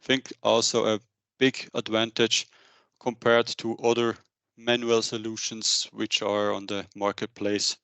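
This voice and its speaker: A man in his thirties giving a semi-scripted business webinar presentation into a headset, with a halting delivery. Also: lowish voice, level tone, creaky, a bit bright, smooth, dry recording. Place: indoor room, on a video call.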